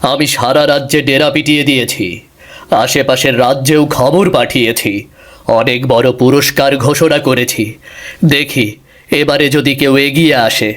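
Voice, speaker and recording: A man's voice: mid-range voice, steady tone, normal speed, a bit bright, close to an everyday voice. A man playing a worried, commanding king and father in a fairy-tale cartoon, grave and regal. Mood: troubled.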